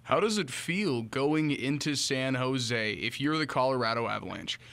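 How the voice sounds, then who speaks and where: A man's voice: mid-range voice, expressive, normal speed, creaky, fairly bright tone. A young man, hosting a sports podcast interview close on a studio microphone. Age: young adult. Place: small indoor radio studio. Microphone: studio microphone on boom arm, close.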